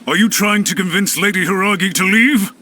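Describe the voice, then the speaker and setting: Tense, high-pitched, suspicious official. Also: male voice, fairly quick, bright, forceful tone, slight background noise. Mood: anxious, suspicious. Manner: fussy, accusing. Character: suspicious, highly strung, officious master of a commission. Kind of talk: anime-style video game voice acting.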